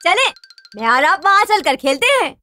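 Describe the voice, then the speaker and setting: A woman's voice: extremely high pitch, big pitch swings, normal speed, bright, forceful tone, soft background music, exaggerated voice. A woman voicing a boastful, mischievous young boy in a children's cartoon, theatrical and whiny when in trouble. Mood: sly, taunting.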